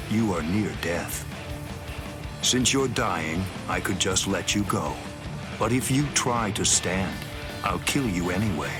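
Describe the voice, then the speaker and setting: Haughty swordsman captain, anime game, low pitch, stately formal delivery, merciless, music behind. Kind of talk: dramatic anime game voice acting.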